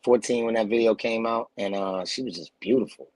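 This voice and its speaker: A man's voice: on the low side, a little fast, a little mellow, no echo. A man from New Jersey, in his thirties, telling stories in a laid-back, slangy way on a video call interview. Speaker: guest actor. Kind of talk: casual storytelling interview.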